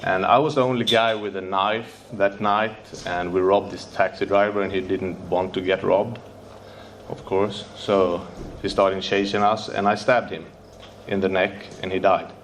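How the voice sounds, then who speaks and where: Low-voiced Swedish man, nervous, halting non-native English, faint noise behind. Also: fairly even intonation. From Sweden. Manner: halting, searching for English words, many fillers and restarts. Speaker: speaker sharing recovery story. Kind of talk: recovery meeting share.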